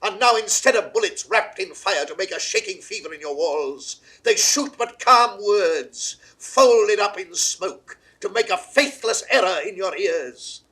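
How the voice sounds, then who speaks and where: A man's voice: high voice, expressive, measured pace, few pauses, bright, forceful tone, heavily acted. An actor playing an imperious, defiant English king in a classical Shakespearean audio drama, with grandiloquent old theatrical British delivery. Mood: commanding, rhetorical. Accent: British English, old theatrical received pronunciation. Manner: grandiloquent, sweeping oratory. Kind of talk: mid-century classical Shakespearean stage declamation. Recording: 1960s studio audio drama recording.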